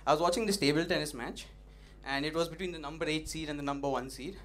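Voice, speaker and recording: A young man doing an open-mic stand-up set, punchy and animated, teasing the audience, on a stage mic. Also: fairly high pitch, fast talker. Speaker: stand-up comedian. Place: comedy club stage. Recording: noisy live club recording.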